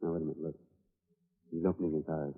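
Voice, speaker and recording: An actor in a vintage radio thriller playing a firm, measured prison doctor who coaxes his patient. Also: very deep voice, level tone. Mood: probing, calm. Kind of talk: 1940s radio thriller acting. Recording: tinny, narrow-band vintage radio recording.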